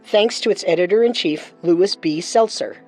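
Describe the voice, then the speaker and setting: A woman's voice: lowish voice, moderate pace, darkish tone, soft background music. A woman hosting a true-crime show with scripted narration. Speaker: host and narrator.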